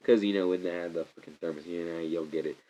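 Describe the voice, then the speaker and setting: A young man with a stuffy, congested voice gives a rambling, excitable movie review, often restarting his sentences. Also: low voice, quick pace, a little hiss or hum. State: congested from a cold. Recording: slightly muffled lo-fi recording.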